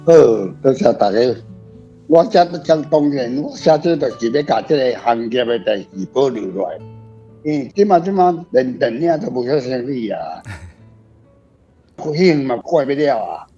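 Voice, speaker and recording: Older taiwanese guy, author talking casually, soft dark tone, bit dull recording. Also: medium pitch, lively intonation, normal speed, faint music behind. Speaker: author, guest. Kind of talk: casual reflective talk on own writing. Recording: muffled, slightly dull sound.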